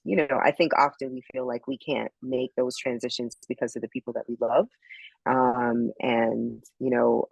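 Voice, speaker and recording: A woman's voice: rumbling low, creaky, darkish tone, no echo, poor audio. Reflective middle-aged woman from Barbados. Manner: reflective, many ums and you-knows. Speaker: podcast guest. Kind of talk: casual interview chat.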